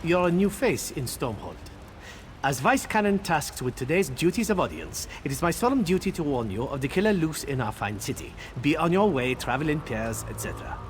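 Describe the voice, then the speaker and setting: An actor playing a blunt, weary warrior turned town official in a fantasy video game, dry and officious. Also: male voice, fairly high pitch, very animated, fairly quick, slight background noise. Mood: officious warning.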